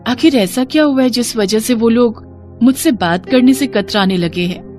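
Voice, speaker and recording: A woman's voice: on the high side, normal speed, a little mellow, soft background music, natural voice. A woman playing a hurt, puzzled young daughter-in-law in a cartoon family drama, earnest and questioning.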